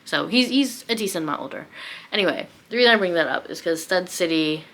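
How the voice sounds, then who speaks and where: Low-voiced woman chatting casually about books. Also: animated, fast talker, fairly bright tone. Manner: self-deprecating, rambling, thinking aloud, giggly. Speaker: book vlogger. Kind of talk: casual chatty book review, unscripted.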